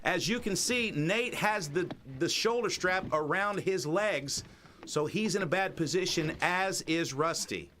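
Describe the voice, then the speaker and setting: A man calling a live grappling match as play-by-play commentator, excited and energetic. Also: high-pitched, a little slow, slight background noise.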